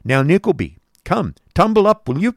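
Man putting on a high, loud bullying schoolmaster, barking orders, storybook reading, dry sound. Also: sing-song, normal speed, dry recording. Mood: bossy. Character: bullying greedy male schoolmaster. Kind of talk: audiobook character voice.

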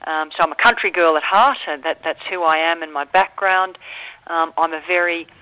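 A middle-aged Australian woman, a political candidate, answering questions in an earnest, measured way with frequent ums. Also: fairly low pitch, sharp tone, dry recording, low-quality recording. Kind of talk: radio phone interview.

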